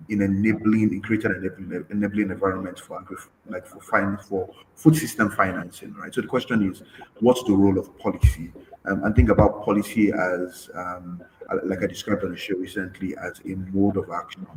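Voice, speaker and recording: A man moderating a panel discussion, who keeps checking in with a quick "right?" as he talks. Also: deep voice, slight background noise, poor audio.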